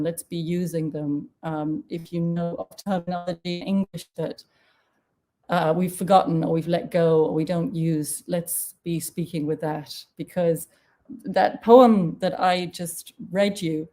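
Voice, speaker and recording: A woman's voice: lowish voice, mellow. A woman poet speaking thoughtfully and with passion at an online event. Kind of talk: reflective talk.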